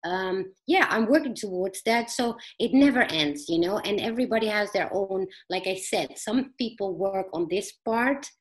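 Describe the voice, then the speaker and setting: A middle-aged woman speaking as a podcast guest, telling personal stories conversationally in a halting, earnest, explanatory way. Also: mid-range voice, animated, low-quality recording.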